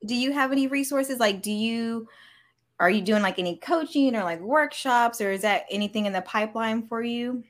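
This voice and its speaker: A woman's voice: fairly high pitch, moderate pace. A woman with an American accent interviewing as a podcast host in a casual, informal chat.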